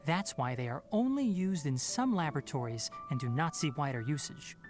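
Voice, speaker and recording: A woman voicing a clever young male researcher in a video game, calm, precise and matter-of-fact. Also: low voice, moderate pace. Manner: precise, explanatory. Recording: game music behind the voice.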